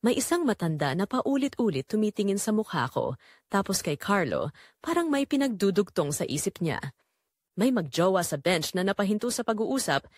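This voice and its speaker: A woman narrates a scripted drama in the first person with emotive, confessional delivery. Her mid-range voice moves with very lively intonation, and the sound is close and dry. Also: medium pitch, dry recording.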